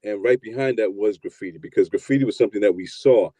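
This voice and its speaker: A man in his fifties from New York telling his story in a relaxed, rambling interview, often repeating words as he starts. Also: on the low side, fast talker, dry recording, low-quality recording. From New York, USA. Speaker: guest, producer and DJ.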